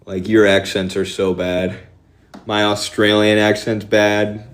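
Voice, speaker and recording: A man's voice: on the low side, little pitch movement. A man with a Midwestern accent, talking politics casually on a live stream in a rambling, sardonic way. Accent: Midwestern American. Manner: rambling, fast-shifting, sardonic.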